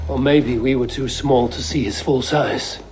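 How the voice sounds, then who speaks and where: A man playing a stoic, loyal Viking warrior in a cinematic video game, grave and measured. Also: medium pitch, fairly even intonation, a little mellow, natural voice. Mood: measured, questioning. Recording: music behind.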